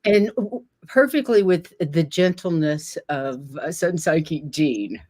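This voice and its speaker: A woman hosting a casual livestream chat, talking at an unhurried pace with frequent fillers and soft laughs. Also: deep voice, lively intonation. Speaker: host.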